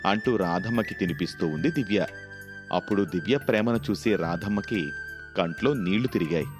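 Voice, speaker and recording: A man's voice: deep voice, a little fast. A man narrating a scripted children's story as the storyteller. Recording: music behind the voice.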